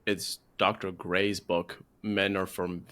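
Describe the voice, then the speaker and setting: A man's voice: deep voice, flat delivery, slow pace, pushed and bright. A man on a podcast panel explaining things casually and somewhat hesitantly, often repeating phrases.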